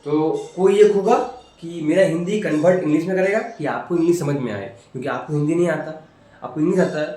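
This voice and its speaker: A man's voice: on the high side, darkish tone. A man teaching informally, explaining step by step, often repeating himself and asking rhetorical questions. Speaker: programming teacher. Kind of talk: informal spoken tutorial explanation. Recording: very echoey room, distant mic, faint noise.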